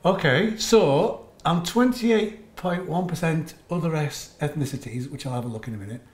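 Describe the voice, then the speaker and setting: A man in his forties, reading out results in a low-key, laid-back way at a kitchen table. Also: normal speed, darkish tone, reverberant. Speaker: co-host, partner. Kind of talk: casual chat reading results. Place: kitchen table at home, indoors.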